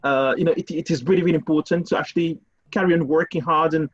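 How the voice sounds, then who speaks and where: A man from Cameroon speaking as a university guest on an online panel, halting with many repeated words and fillers. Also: a little high, steady tone, breathy edge. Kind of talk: casual panel discussion. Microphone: video call. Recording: muffled lo-fi video call audio.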